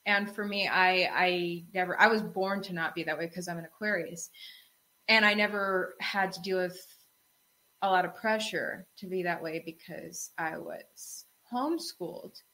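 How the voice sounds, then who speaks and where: A young woman co-hosting a casual podcast chat, telling relaxed stories and laughing often. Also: lowish voice, normal speed, poor audio. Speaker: co-host.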